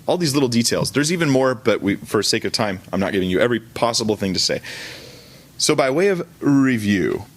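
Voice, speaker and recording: A man's voice: medium pitch, fairly bright tone. A man who is a pastor, teaching an informal sermon in a conversational, colloquial manner, often correcting himself as he goes. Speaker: pastor teaching a church service.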